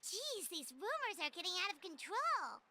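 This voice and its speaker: A woman voicing a tiny, chatty childlike fairy guide in a video game, squeaky, chirpy and inquisitive. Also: big pitch swings, normal speed, pushed and bright, exaggerated voice. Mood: flattered. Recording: music behind the voice.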